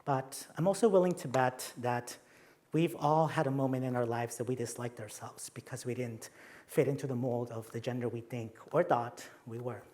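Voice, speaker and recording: A man's voice: mid-range voice, normal speed, a little mellow. A young adult man from Mexico with a Mexican accent, giving a conference talk with slides and playful, self-deprecating asides. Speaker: conference presenter.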